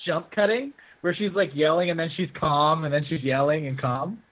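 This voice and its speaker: A man's voice: a little high, normal speed. A man chatting casually as co-host while watching a film, wry and questioning. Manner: wry, questioning, quotes the film in mock voices. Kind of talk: casual group commentary over a call. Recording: tinny call audio.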